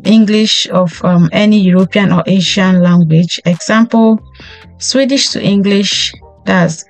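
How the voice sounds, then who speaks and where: A woman's voice: mid-range voice, a little mellow, dry recording, rough recording. A woman hosting a career tips channel, walking through a job listing on screen and reading its lists aloud with occasional ums and restarts. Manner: reads items in list form, with frequent ums and restarts. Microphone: close desk mic, voice-over on a screen recording.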